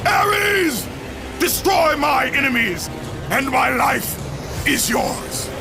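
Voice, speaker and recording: A man playing a fierce, rage-filled Spartan warrior in a video game, declaiming gravely and forcefully. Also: extremely high pitch, lively intonation, extremely slow, over music, full character voice. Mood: desperate roaring. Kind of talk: dramatic video game acting.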